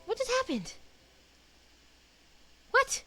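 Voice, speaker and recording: A woman streamer reacting to a video game, with excited exclamations and quick reactions. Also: very high-pitched. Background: game music.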